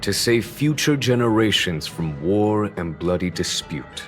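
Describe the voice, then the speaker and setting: A resolute commander with a very low, deep voice and a solemn, speech-like delivery. Game music swells clearly behind him. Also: male voice, lively intonation, measured pace. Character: solemn male commander of a sworn alliance. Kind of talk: game voice acting.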